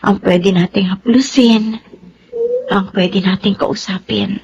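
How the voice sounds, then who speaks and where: A woman's voice: mid-range voice, fairly even intonation, slow pace, darkish tone, dull audio, a little hiss or hum, lightly acted. An actress playing an affectionate widowed mother and elder sister in a radio drama, speaking expressively. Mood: tender, solemn. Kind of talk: Filipino radio drama acting.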